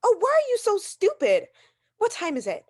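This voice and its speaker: Radio mystery drama, with an actress as a haughty, neurotic invalid wife. Her voice is high and swings widely as she demands and insists. She is agitated and imperious throughout. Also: wildly swooping pitch, moderate pace, darkish tone, exaggerated voice. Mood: exasperated, demanding.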